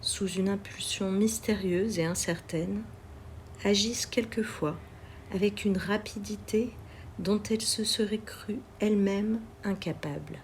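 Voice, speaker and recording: A woman reads literary poetry aloud in a measured, deliberate way, pausing between phrases. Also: mid-range voice, darkish tone, a little hiss or hum. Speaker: poetry reader.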